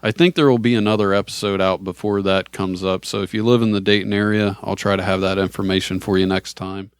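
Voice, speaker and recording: A man's voice: low voice, flat delivery, fast, clean tone, no echo, clean studio sound. An American man hosting a casual comedy podcast in an easygoing conversational way. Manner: laughs often while talking. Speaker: podcast host.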